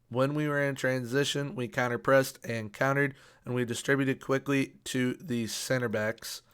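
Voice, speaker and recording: A man's voice: medium pitch, clear, resonant tone. A young man giving casual video game commentary with an upbeat, repetitive emphasis, his voice worn from recently losing it. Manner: upbeat, repeats words for emphasis. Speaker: video game tactics youtuber.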